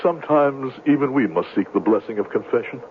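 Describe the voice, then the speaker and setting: Guy acting as fake missionary conman, super pious n solemn, very animated pitch, old radio drama w thin phone-like sound. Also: fairly quick, natural voice. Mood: solemn, sorrowful. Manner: pious, solemn, theatrically hesitant. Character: smooth-talking male swindler posing as pious missionary. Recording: muffled, noisy old radio broadcast.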